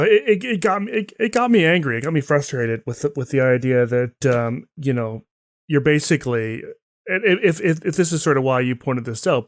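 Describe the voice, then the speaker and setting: A man hosts a podcast interview, his voice at an ordinary middle pitch. He stumbles and restarts as he works through his thoughts, recorded close and dry with no room sound. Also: no room sound. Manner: halting, with many restarts and short acknowledgements. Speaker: podcast host. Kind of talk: casual interview conversation.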